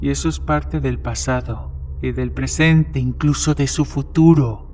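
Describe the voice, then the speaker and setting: A man plays a young, prophet-like stranger in an audio horror story. He speaks very slowly at a medium pitch, delivering foreboding pronouncements over faint music. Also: fairly even intonation, dark tone, close to an everyday voice. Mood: ominous, taunting. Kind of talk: dramatized short-story reading. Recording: music behind the voice.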